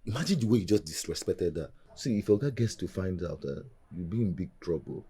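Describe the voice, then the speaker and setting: An easygoing Nigerian household colleague in a film drama. His voice is deep, dark and husky, with an advising, lightly amused manner. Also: animated, brisk, heavily acted. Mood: cautioning.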